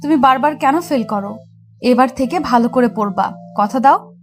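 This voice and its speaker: Woman as young college girl, Bangladeshi accent, high voice, affectionate and chiding, audio romance, faint music. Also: moderate pace, natural voice. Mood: tender concern. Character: caring young college girl, scolding yet tender. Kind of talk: scripted audio love-story drama.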